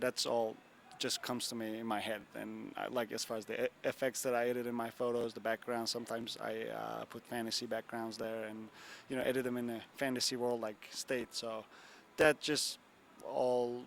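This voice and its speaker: A man's voice: mid-range voice, level tone, moderate pace, gravelly, a bit bright, slight background noise. A young man from Turkey, a photographer, answering interview questions in a loose, rambling way with lots of fillers. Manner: rambling, many fillers and "you know what I'm saying". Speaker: photographer guest. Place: bar and grill.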